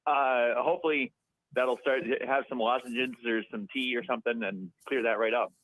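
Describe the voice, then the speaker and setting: A man's voice: medium pitch, steady tone, moderate pace, a bit bright, no echo. A man calling in to a radio talk show, talking in a chatty, rambling way and laughing often. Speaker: caller. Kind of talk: phone-in conversation. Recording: tinny dry phone line.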